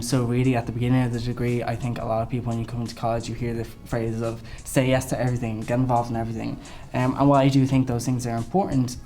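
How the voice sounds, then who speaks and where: A young man with a Dublin Irish accent answers campaign questions earnestly, with plenty of ums. He talks fast and keeps his pitch level. Clear background music plays under his voice. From Ireland. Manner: earnest, steady, with frequent ums. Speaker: student election candidate. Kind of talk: campaign video interview answers.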